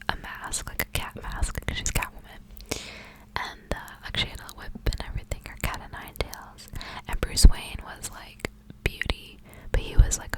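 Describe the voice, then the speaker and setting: A woman speaking in a soft, breathy, intimate whisper, as an ASMR host. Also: rough recording. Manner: soft, hushed, intimate delivery. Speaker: ASMR host reading aloud. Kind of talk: whispered ASMR storybook reading with casual asides.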